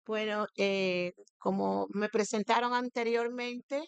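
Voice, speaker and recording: A woman in her sixties with a Cuban accent, answering interview questions as a guest in a formal, halting way. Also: mid-range voice, fairly even intonation, gravelly, smooth, no echo, lo-fi sound. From Santiago de Cuba, eastern Cuba. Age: 60s. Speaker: guest, teacher and education academic. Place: radio studio.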